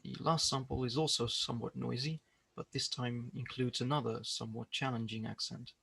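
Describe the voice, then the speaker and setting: A man giving a prepared research presentation in a measured, explanatory way. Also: medium pitch, fairly even intonation, creaky, pushed and bright, breathy edge, no room sound.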